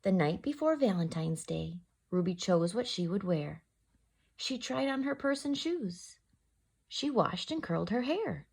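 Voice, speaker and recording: A woman's voice: mid-range voice, very animated, a little slow, vocal fry, darkish tone, husky. A woman storyteller reading a children's picture book aloud expressively, addressing young listeners directly. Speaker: storyteller, host.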